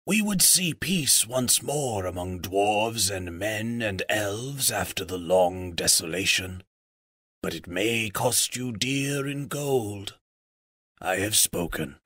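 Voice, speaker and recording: A man voicing a decrepit, wise old raven chief in a storybook, croaking out solemn, formal counsel. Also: fairly low pitch, animated, fairly bright tone, no echo, studio quality, exaggerated voice. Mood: grave, weary. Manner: slow, formal, archaic counsel.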